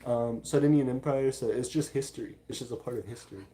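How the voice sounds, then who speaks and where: A man hosting a livestream in a casual, informal chat style, talking haltingly as he reads out and answers viewer comments. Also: mid-range voice, dark tone. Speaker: livestream host.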